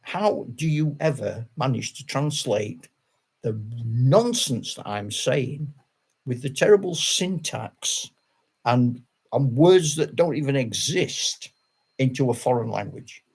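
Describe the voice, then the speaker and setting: A man's voice: medium pitch, lively intonation, slow, darkish tone, husky. An older man with a Yorkshire accent, chatting to his channel viewers in a rambling, self-deprecating way, with frequent chuckles. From Yorkshire, England. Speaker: wargaming channel host. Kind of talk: casual rambling monologue to camera.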